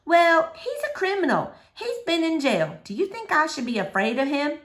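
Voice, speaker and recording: A curious young storybook girl, acted out by a woman. She is polite and innocent, full of questions. Her voice sits fairly high and swings up and down readily. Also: big pitch swings, normal speed, fairly bright tone. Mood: worried. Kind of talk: children's novel read aloud.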